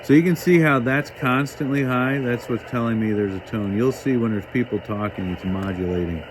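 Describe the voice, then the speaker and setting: A man giving a casual hands-on radio demonstration as host, thinking aloud in a halting way. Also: mid-range voice, noisy background. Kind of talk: casual hands-on demonstration, talking while working.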